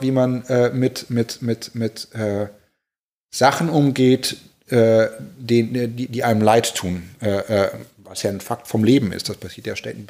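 A man in his forties from Germany, a pastor, thoughtfully telling personal stories, halting with many restarts and repeated words. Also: medium pitch, darkish tone. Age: 40s. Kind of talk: reflective personal storytelling.

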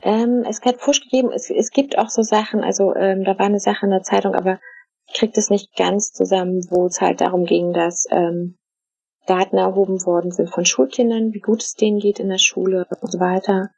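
A middle-aged woman from Germany, answering interview questions in a casual, thoughtful way, with many fillers and pauses. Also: medium pitch, steady tone, gravelly, darkish tone, husky, dry recording. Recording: dull, dry lo-fi recording.

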